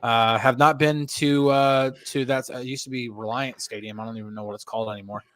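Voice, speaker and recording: Man from northern New Jersey, mid-pitched, dry close sound, rambling casual podcast co-host. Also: fairly even intonation, brisk, a bit bright, no echo. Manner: rambling, lots of "like" fillers. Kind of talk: casual sports podcast chat.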